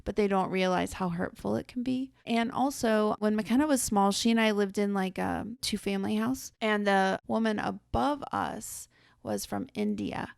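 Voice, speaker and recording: A woman's voice: medium pitch, expressive, moderate pace, vocal fry, dry recording, studio quality. A woman co-host speaking earnestly and reflectively in a casual conversation.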